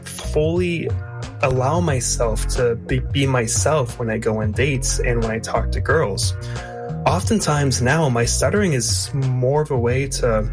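A man in his twenties gives a reflective personal account with a noticeable stutter, repeating and blocking on sounds. His mid-pitched voice sits over clearly audible guitar music. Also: a little slow. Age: 20s. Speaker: featured contributor, speech language pathologist. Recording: music bed under the voice.

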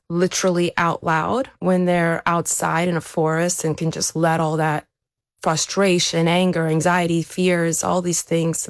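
A woman speaks as an interview guest, reflecting on personal experience in a conversational way. Her voice sits on the low side with plenty of vocal fry, and the recording is dry. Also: dry recording. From born in Ukraine, raised there to age seven, then America. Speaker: interview guest, elite athlete.

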